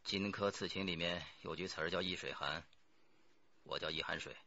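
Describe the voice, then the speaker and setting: An actor playing a defiant, cornered murder plotter under interrogation in a radio drama, speaking deliberately. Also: male voice, low voice, steady tone, sharp tone, dry recording, dull audio. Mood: cool defiance.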